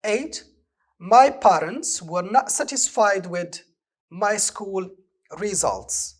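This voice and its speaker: A man's voice: sits high, animated. A man from Morocco with a Moroccan accent, a native speaker of Moroccan Arabic, teaching an English lesson on television and explaining exercise answers step by step. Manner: slow, deliberate, reads exercise items aloud and explains answers. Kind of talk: televised distance-learning lesson.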